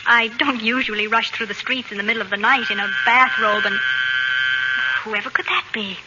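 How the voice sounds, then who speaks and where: An actress playing a brisk, tender mother with wry good humor in a 1940s radio comedy. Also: on the high side, steady tone, natural voice. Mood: brisk, polite. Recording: dull, noisy old radio recording.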